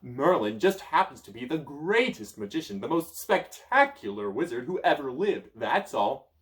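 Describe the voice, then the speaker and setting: A man's voice: mid-range voice, sing-song, moderate pace, fairly bright tone, pitched above the performer's own voice. A man voicing a grouchy, boastful old male wizard stuck in a bush, reading a storybook aloud. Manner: pompous, cranky, sneezy.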